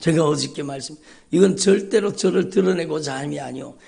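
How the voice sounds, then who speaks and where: A man's voice: a little high, brisk, gravelly, mellow. A male pastor preaching an emphatic, repetitive sermon at a dawn church service, calling out often to the congregation. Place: church sanctuary.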